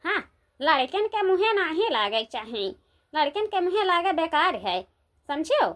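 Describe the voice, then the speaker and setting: A woman's voice: extremely high pitch, big pitch swings, measured pace, background noise, full character voice. A woman doing a blunt young village woman. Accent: Awadhi. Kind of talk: cartoon voice acting.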